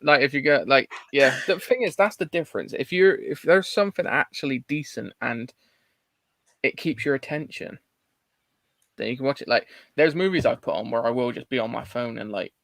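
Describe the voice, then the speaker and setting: A man's voice: medium pitch, lively intonation, normal speed, gravelly, a bit bright, dry recording. A man with a Bristolian accent hosting a casual livestream, reading out chat messages and chatting loosely. He swears casually and can't roll his R's. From Bristol, England.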